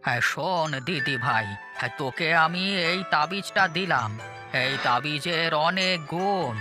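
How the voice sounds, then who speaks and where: An actor voicing a kindly, wronged old village grandfather in a children's cartoon, with drawn-out emotive delivery. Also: medium pitch, over music, lightly acted. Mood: pleased, grateful. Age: older. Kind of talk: Bengali children's cartoon voice acting.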